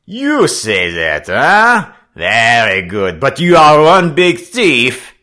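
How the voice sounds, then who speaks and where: A man voicing a bullying, sly French sloop captain in an audiobook, with a French accent, shrill voice and broken, taunting English. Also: huge pitch swings, slow, fairly bright tone, full character voice. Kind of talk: audiobook character acting.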